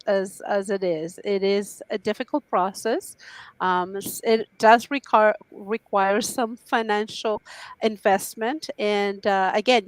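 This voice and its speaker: A woman's voice: on the high side, smooth, no echo, low-quality recording. A woman hosting an interview show, chatty and effusive, often restarting her sentences. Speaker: talk show host.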